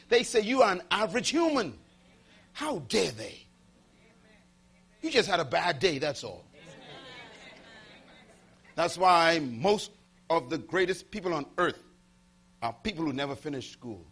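A man preaching a motivational sermon to a live audience, firing rhetorical questions, repeating key lines and swinging from loud bursts to hushed asides. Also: high voice, husky. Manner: dramatic swings between loud and hushed, call-and-response questions; emphatic, repeating key phrases.

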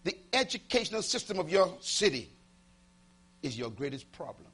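Dramatic, husky-voiced man preaching. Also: high voice, big pitch swings, normal speed. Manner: dramatic swings between loud and hushed, call-and-response questions; emphatic, repeating key phrases. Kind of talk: motivational sermon to a live audience.

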